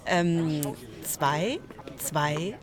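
A woman's voice: low-pitched, animated, drawn-out words. A woman chatting casually as an interviewee. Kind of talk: casual chat. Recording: crowd chatter at busy event.

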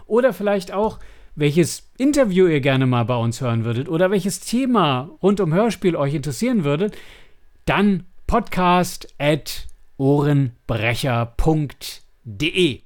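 Higher-pitched man chatting casually. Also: big pitch swings, unhurried. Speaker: podcast host. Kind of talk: casual chat about audio dramas.

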